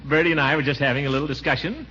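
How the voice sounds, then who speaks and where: An actor playing a pompous, evasive middle-aged uncle who blusters and chuckles in a 1940s radio comedy. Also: male voice, on the high side, racing, pushed and bright, breathy edge. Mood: flustered bluster. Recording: noisy, muffled 1940s radio recording.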